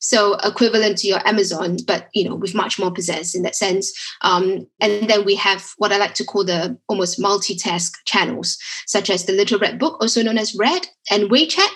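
A woman's voice: medium pitch, moderate pace, a bit bright. A woman with a Singaporean accent, speaking as an expert podcast guest in a conversational, explanatory style. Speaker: podcast guest, digital agency director.

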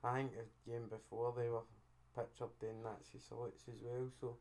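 A man's voice: mid-range voice, little pitch movement, moderate pace, a little mellow. A man with a Scottish accent co-hosting a podcast, giving matter-of-fact opinions in casual chat. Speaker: podcast co-host.

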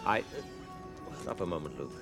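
Wise elderly mentor, calm, formal, lowish pitch, animated intonation, radio drama, music underneath. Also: male voice. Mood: wary. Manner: measured, formal, sage. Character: wise, calm, watchful old male mentor.

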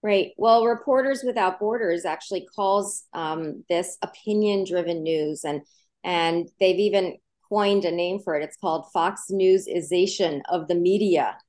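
A woman's voice: fairly low pitch, a little slow, darkish tone. Woman, journalism professor as interview guest; earnest, explanatory, emphatic. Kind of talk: interview answers.

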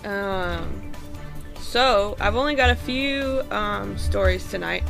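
A woman's voice: mid-range voice, slow pace, creaky, fairly bright tone, faint music behind. A woman co-hosting a podcast, giving a loose, ad-libbed recap of the news in a conversational way. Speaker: podcast co-host reading news segment.

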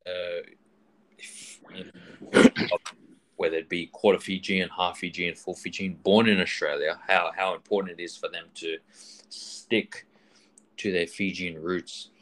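Male interviewer, very low voice, halting questions, lots of fillers. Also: flat delivery, slight background noise, low-quality recording. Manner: halting, many fillers and restarts. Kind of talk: casual interview.

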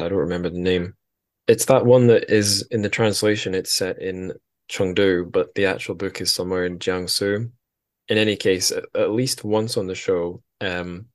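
A man hosting a podcast in a casual book discussion, chatty and wry, rambling as he goes. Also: sits low. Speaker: podcast host.

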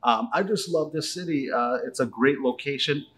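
A man's voice: medium pitch, moderate pace, fairly bright tone. A man in his thirties with a Canadian accent, speaking casually and agreeably as an enthusiastic interview guest. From Toronto, Canada. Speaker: interview guest, auto detailing business owner.

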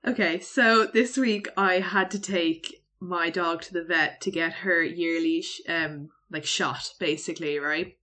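Woman, young adult, Irish accent, podcast co-host, bright voice, relaxed, measured storyteller. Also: fairly low pitch. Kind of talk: casual chat.

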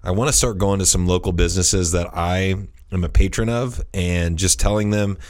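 A man's voice: sits low, fairly even intonation, normal speed, darkish tone. A thirty-year-old man, a podcast host, talking in a meandering, casual way with many restarts. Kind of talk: casual chat.

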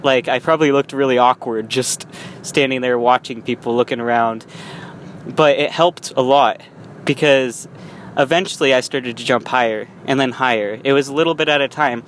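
A man's voice: mid-range voice, steady tone, normal speed, fairly bright tone. Young man, rambling car vlog, restarts and small laughs, faint road noise. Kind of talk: casual vlog monologue. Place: in a car while driving.

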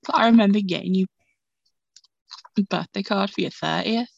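Gravelly woman, casual chat. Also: mid-range voice, normal speed, no room sound. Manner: chatty, says "like" a lot, laughs often. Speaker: podcast co-host. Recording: dull lo-fi audio, dry.

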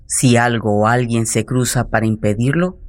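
Radio-play storyteller, male actor: medium pitch, urgent, tense scripted narration. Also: fairly even intonation, moderate pace, natural voice. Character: tense male narrator of a man about to flee.

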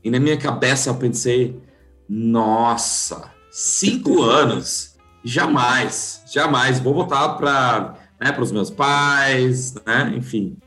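A man's voice: mid-range voice, slow, sharp tone, soft background music. A man in his thirties with a German accent, a guest speaking Portuguese as a second language, talking hesitantly with restarts and fillers. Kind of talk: conversational interview.

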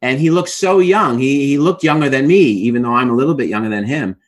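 A man's voice: medium pitch, a little fast. A middle-aged man with a Brooklyn accent, a guest telling his life story in an interview with many fillers and restarts. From Brooklyn, New York.

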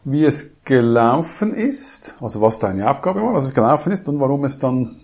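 A man's voice: mid-range voice, very animated, moderate pace, a little mellow. A man hosting a podcast interview, asking loose, friendly questions in a casual way. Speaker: podcast host.

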